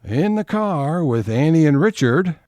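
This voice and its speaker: A man playing the narrator of an audio theatre comedy, reading scripted scene-setting lines in a measured way. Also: fairly high pitch, sing-song, over music.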